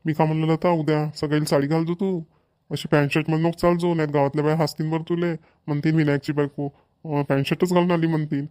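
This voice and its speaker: Man acting high-pitched, even-toned village father. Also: quick pace, smooth, no room sound, studio quality, natural voice. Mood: placating. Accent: Vidarbha Marathi. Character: placating middle-aged village father and husband. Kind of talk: amateur cartoon voice acting.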